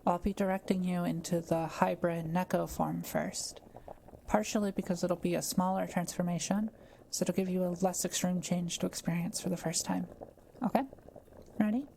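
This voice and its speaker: Woman playing kind witch, lowish mellow voice, soft-spoken, patient, reassuring, roleplay audio drama, faint background noise. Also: steady tone, moderate pace, gravelly. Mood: gently reassuring. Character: kind, quiet-living forest witch, gently reassuring.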